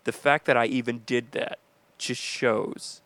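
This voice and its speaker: Gravelly-voiced man telling jokey stories. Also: medium pitch, steady tone, measured pace, a bit bright, no echo. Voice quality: nasal. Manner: joking, rambling storyteller, breaks into silly voices. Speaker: podcast co-host. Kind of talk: casual comedic chat.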